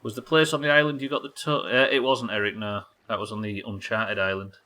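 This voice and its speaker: A man's voice: lowish voice, little pitch movement, a little fast, pushed and bright. A man doing casual livestream game commentary, thinking aloud, questioning himself and swearing now and then. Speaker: live game streamer.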